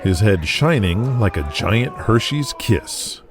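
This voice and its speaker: Male narrator, low pitch, scripted scene-setting, audio drama, music underneath. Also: big pitch swings, slow pace.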